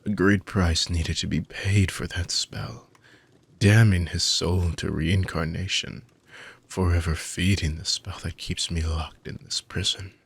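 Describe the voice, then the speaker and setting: A man playing an ancient, weary dark mage villain in a fantasy audio roleplay, husky and gravelly, speaking theatrically with long dramatic pauses. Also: deep voice, fairly even intonation, full character voice. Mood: mournful, resigned. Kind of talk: fantasy audio roleplay monologue addressed to listener.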